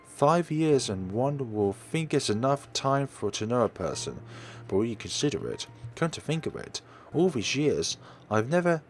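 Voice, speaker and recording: A man playing a gentle, caring, quietly worried woman schoolteacher in a visual novel read-through. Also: medium pitch, sing-song, normal speed, soft background music, natural voice. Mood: pensive.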